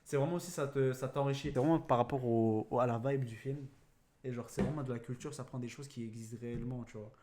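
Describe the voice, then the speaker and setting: A man's voice: medium pitch, fairly even intonation, fast. A young man chatting casually about films, rambling through explanations in slangy, filler-heavy speech. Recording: roomy echo, faint background noise.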